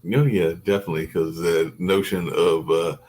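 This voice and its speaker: A radio host with an extremely low, deep voice. He chimes in briefly and casually, agreeing with his guest and adding a thought now and then. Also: moderate pace. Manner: brief affirming responses, relaxed. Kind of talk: radio interview conversation.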